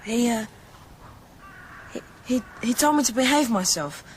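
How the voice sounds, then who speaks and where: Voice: mid-range voice, lively intonation, measured pace, a little hiss or hum, close to an everyday voice. A young performer playing a captive noble boy, direct and questioning, in a radio drama. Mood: nervous.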